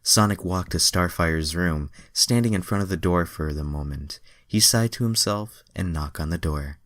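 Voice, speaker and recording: A man's voice: low-pitched, normal speed, fairly bright tone, dry recording, clean studio sound. A man reading fan fiction aloud as an amateur narrator, breaking into irreverent, self-deprecating asides.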